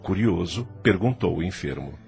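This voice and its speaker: A man's voice: deep voice, animated, moderate pace, gravelly, a little mellow, soft background music. A man narrating an audio drama as a scripted storyteller.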